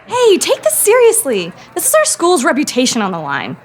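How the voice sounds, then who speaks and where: A woman playing an indignant, sharp-tongued teenage girl student in an audio drama. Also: high-pitched, huge pitch swings, moderate pace, soft background music. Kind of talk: scripted audio drama acting.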